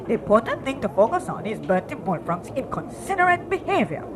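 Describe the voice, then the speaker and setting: Lady doing a bossy prim possum wife for a radio play, lively pitch, noisy tape. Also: a little fast. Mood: prim reproach. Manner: prim, firm, correcting. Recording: noisy live radio recording.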